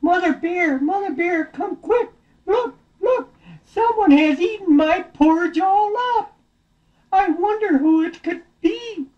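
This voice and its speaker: A storyteller voicing an excitable storybook father bear, emphatic and urgent. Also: medium pitch, takes it slowly, lo-fi sound, pitched above the performer's own voice. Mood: alarmed.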